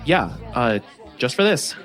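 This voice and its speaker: A man's voice: medium pitch, big pitch swings, unhurried, a bit bright, faint music behind, a faint crowd. An actor playing a shy young man at a Halloween party who stumbles and hesitates when flustered. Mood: awkward. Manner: hesitant, flustered, filler-heavy.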